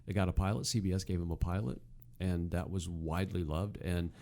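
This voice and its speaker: A man's voice: deep voice, fairly even intonation, fairly quick, gravelly, a little mellow. A man co-hosting a podcast, chatting casually and with amusement about old television. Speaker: podcast co-host. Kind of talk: casual chat, nostalgic TV commentary.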